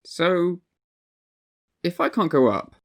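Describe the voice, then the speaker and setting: A woman playing a hapless, wistful dead writer in an amateur comedy drama, awkward and halting. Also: on the low side, very animated, heavily acted. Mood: wistful, uncertain.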